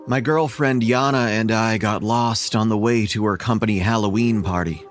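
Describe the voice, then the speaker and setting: An actor as a wry, low-voiced storyteller. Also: male voice, fairly even intonation, normal speed, soft background music, lightly acted. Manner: dry, sardonic storytelling. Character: wry man narrating his own ordeal. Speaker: first-person narrator. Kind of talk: scripted horror audio drama narration.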